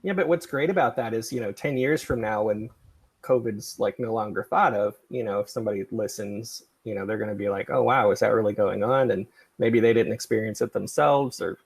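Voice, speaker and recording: A middle-aged man with a gravelly, husky voice hosting a casual livestream chat in a rambling, self-effacing way. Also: lowish voice, fairly quick. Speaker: podcast creator and livestream host.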